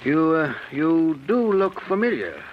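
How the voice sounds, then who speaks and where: An actor playing a stern, dignified judge with formal, pompous manners in 1940s radio drama. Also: male voice, fairly high pitch, very animated, measured pace. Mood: puzzled, formal. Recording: muffled noisy old radio recording.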